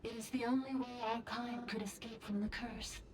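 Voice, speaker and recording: A woman's voice: fairly high pitch, steady tone, normal speed, a crowd in the background, close to an everyday voice. A woman playing an ancient sovereign priestess speaking through a robot in a video game, solemn, formal and cryptic.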